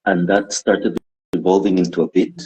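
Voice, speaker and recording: A man's voice: sits low, level tone, moderate pace, a little mellow, poor audio. A man from Guatemala, a stand-up comedian, chatting casually and self-deprecatingly as an interview guest. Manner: rambling, self-deprecating, laughs often.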